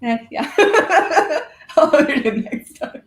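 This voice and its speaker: A woman's voice: high voice, lively intonation, takes it slowly, slight background noise. A woman guest chatting casually and reflectively, searching for her words as she goes. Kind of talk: casual chat.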